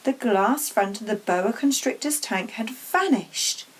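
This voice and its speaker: A woman's voice: fairly high pitch, animated, a little slow, slightly rough. A woman narrating a children's storybook aloud as a teacher would to pupils.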